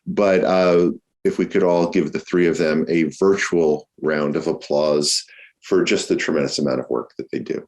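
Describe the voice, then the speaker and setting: A very low-voiced man hosts a lecture series over a video call. He thanks the people behind the scenes with gratitude before introducing the guest. His speech is loose and full of ums and uhs. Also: steady tone, moderate pace. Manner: warm, grateful, with many fillers.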